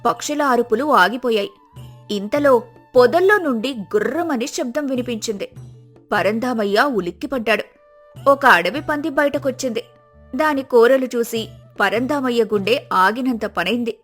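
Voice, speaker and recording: High-voiced woman narrating a story. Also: animated, normal speed, soft background music.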